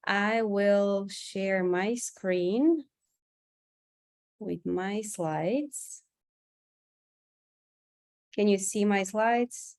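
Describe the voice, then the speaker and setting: A woman's voice: medium pitch, drawn-out words, pauses often, a little mellow. A woman with a Bulgarian accent, a clinical psychologist, giving a careful webinar presentation over a video call, with many "um" fillers.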